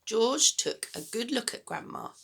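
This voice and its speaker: A woman reading a children's story aloud as a teacher, in expressive narration. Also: a little high, measured pace, creaky, breathy edge.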